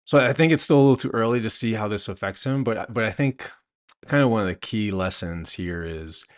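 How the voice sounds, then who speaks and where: A man's voice: on the low side, a little fast. A man hosting a podcast, talking in a conversational, reflective and somewhat hesitant way. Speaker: podcast host. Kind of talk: conversational discussion.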